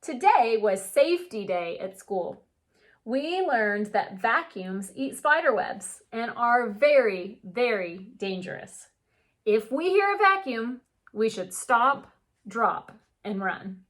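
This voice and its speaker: A woman's voice: fairly high pitch, very animated, slow pace, a bit bright. A woman teacher reading a children's storybook aloud with chatty asides, putting questions to young listeners and answering them. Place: indoor reading nook at home.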